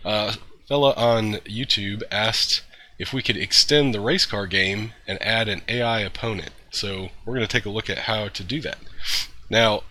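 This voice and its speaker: A man hosting a programming tutorial, a little congested from a cold, talking through code steps in a relaxed way with fillers and throat-clearing. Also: fairly low pitch, normal speed. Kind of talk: screen-recorded coding tutorial.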